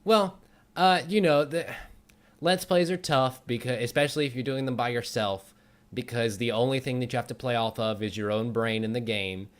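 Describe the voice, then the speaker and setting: Male interviewer, average pitch, relaxed conversational delivery for an informal talk show. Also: normal speed, clear, resonant tone. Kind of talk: casual chat.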